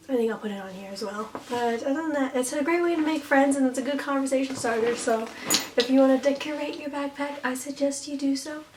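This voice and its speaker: Hoarse young woman vlogging, chatty. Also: on the high side, far from the mic, slight background noise. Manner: chatty, giggly, rambling with fillers. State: hoarse, losing her voice. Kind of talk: casual chat.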